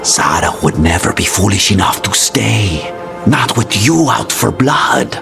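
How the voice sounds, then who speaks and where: A man's voice: on the low side, very animated, a little slow, vocal fry, over music, exaggerated voice. A man voicing a smug, self-assured assassin, dismissive and theatrical, in a video game cutscene.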